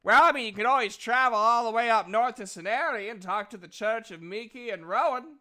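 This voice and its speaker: The loud-mouthed robot at a fantasy guild's counter, high and piercingly bright, blurting oaths at adventurers, acted by a man at a game table. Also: fairly quick, full character voice. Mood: matter-of-fact. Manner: exclamatory, swearing. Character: excitable, blunt, foul-mouthed male robot guild member. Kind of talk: tabletop roleplay character voice.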